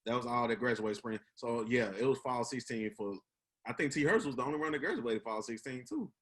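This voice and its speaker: A man's voice: medium pitch, a little fast, fairly bright tone. Earnest young Memphis man chatting. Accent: Memphis Southern US. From Memphis, United States. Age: 20s. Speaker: podcast host. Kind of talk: casual reminiscing chat.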